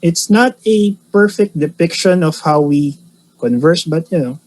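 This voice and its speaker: A man chatting in a relaxed, joking way, his voice sitting a bit high. He laughs easily between his points. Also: unhurried, slight background noise, rough recording. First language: Filipino. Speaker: co-host reacting to a show. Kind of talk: casual chat.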